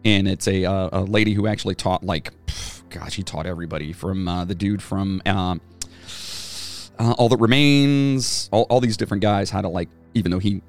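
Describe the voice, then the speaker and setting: A man with a gravelly, slightly low voice talks casually as a podcast co-host, wandering through his points with fillers and false starts. He speaks like a former band singer swapping stories. Faint music sits behind him. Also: moderate pace. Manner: rambling, lots of fillers and restarts. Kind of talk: casual chat.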